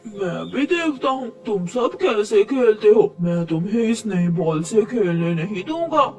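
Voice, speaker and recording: A woman's voice: medium pitch, very animated, unhurried, a little mellow, distant mic, faint music behind. A woman voicing a spoiled, bullying young male crow in a cartoon story, whiny and boastful. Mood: smug, taunting.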